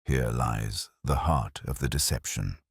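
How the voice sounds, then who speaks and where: A man voicing a brilliant, grave detective in an audiobook, speaking deliberately and precisely. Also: rumbling low, husky, no room sound, studio quality, pitched below the performer's own voice, exaggerated voice. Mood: grimly certain. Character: brilliant, grave male detective, coolly analytical. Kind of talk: audiobook character dialogue.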